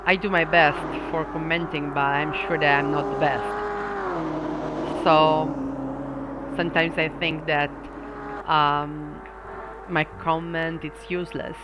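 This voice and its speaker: Italian guy commenting sim races, slow and halting, lots of ums, race car engines blaring behind. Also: on the high side, flat delivery. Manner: hesitant, lots of ums and restarts, self-deprecating. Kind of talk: casual livestream chat, answering viewer comments. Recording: loud racing-game engine noise behind voice.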